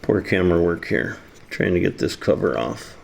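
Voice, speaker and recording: A man's voice: low-pitched, expressive, normal speed, creaky, darkish tone. A man casually demonstrating a hands-on repair, muttering to himself as he works. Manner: muttering to himself while working. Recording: faint background noise.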